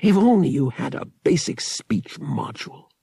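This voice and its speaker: A man's voice: a little high, big pitch swings, normal speed, creaky, mellow, slightly rough, no room sound, studio quality, voice pushed up, heavily acted. A man playing a brash, impatient young smuggler pilot in an audiobook, with a blunt, slangy way of talking. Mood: frustrated.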